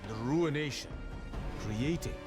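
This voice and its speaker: An actor playing a cocky young rogue hero who narrates theatrically and playfully for a game cinematic, full of boastful flair. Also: male voice, medium pitch, moderate pace, music in the background, close to an everyday voice. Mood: dramatic storytelling. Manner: boastful, playful, self-regarding.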